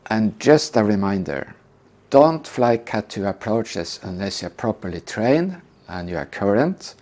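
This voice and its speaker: An older man calmly teaching a scripted lesson on flying approaches. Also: fairly low pitch. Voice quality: calm, measured explanation. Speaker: flight instructor. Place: indoor room.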